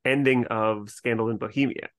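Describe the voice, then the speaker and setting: A man's voice: mid-range voice, steady tone, moderate pace, fairly bright tone, smooth, dry recording, studio quality. A man co-hosting a podcast, explaining and analysing stories in casual discussion. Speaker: podcast co-host.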